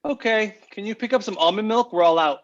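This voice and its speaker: A man's voice: high-pitched, fairly quick, lo-fi sound, lightly acted. A man playing a teasing, unbothered home contractor in a comedy table read.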